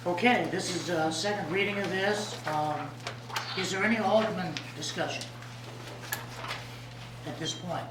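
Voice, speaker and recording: A man chairing a city council meeting, his voice weak after recent vocal cord surgery, talking haltingly with many fillers. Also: high-pitched. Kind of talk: presiding over a public meeting. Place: council chamber. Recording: echoey room, distant mic, background noise.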